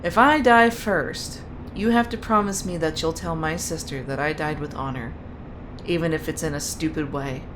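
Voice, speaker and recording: An actress playing a headstrong sniper trooper in a sci-fi military audio drama, blunt and self-assured. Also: female voice, low-pitched, normal speed, background noise, natural voice. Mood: frank, caring.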